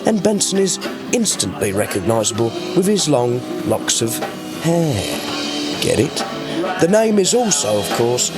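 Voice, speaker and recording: A man working as a radio reporter, reading a scripted narration. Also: medium pitch. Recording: music under the voice. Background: guitar music.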